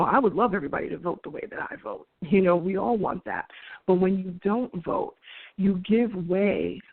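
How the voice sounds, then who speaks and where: A woman's voice: on the low side, no room sound. A woman in her forties from New York, hosting and giving her views in an earnest, conversational monologue with restarts and light laughs. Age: 40s. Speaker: host. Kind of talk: opinion monologue. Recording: tinny phone-like dry sound.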